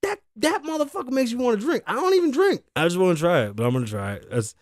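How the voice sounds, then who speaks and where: A man in his thirties with a hoarse voice, hosting a casual podcast monologue from a show set, loose and profane, joking and tossing asides to his crew. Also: very high-pitched, sing-song, fast, dry recording, clean studio sound. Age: 30s. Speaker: host. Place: show set in Las Vegas.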